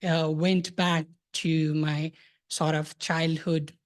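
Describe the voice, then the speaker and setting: A man in his thirties from Nepal, giving a hesitant, soft-spoken talk about his thesis book to an audience. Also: on the high side, little pitch movement, takes it slowly. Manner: soft-spoken, hesitant with many filler sounds. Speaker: thesis presenter.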